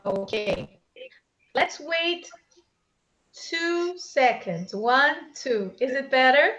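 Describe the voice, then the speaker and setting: Brazilian english teacher lady, high voice, slow n chatty, live stream on a laptop mic. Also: lively intonation, a bit bright. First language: Portuguese. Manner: upbeat, chatty, talks to viewers directly. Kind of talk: live-streamed exam prep lesson. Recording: slightly dull live-stream audio.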